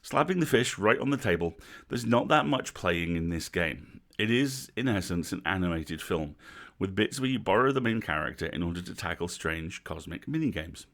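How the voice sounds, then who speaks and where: A man's voice: sits low, lively intonation, moderate pace, fairly bright tone. A British man with a wry, dry delivery, hosting a stream and reading a written review aloud with casual asides. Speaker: host of a livestream reading series.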